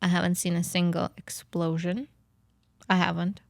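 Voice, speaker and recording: A woman from Ukraine with a Ukrainian accent, telling her own story casually and a bit haltingly on a podcast. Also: fairly low pitch, level tone, vocal fry, no room sound, clean studio sound.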